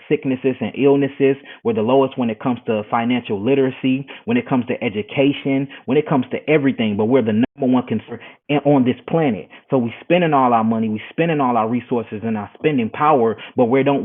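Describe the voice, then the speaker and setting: A man's voice: mid-range voice, breathy edge. Lecturing man on a tinny line. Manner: earnest, lecturing, repeats words when starting a thought. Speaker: herbalist guest. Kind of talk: podcast interview answer. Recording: tinny phone-like sound.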